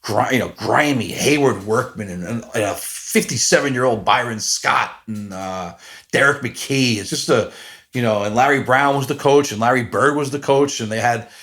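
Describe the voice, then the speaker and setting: 40-something guy doing a solo podcast, rambles a lot, keeps stopping and restarting, mid pitch. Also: normal speed, a bit bright. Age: 40s. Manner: rambling, self-deprecating, many fillers and restarts. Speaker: podcast host. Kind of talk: casual solo podcast monologue.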